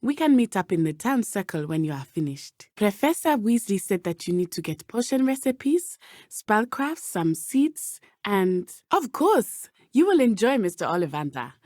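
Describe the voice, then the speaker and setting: A woman's voice: medium pitch, big pitch swings, moderate pace, a little mellow, no echo, studio quality, lightly acted. An actress playing an earnest, brave and loyal teenage witch in a video game, speaking in formal, careful phrasing. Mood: keen, composed. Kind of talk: video game character dialogue.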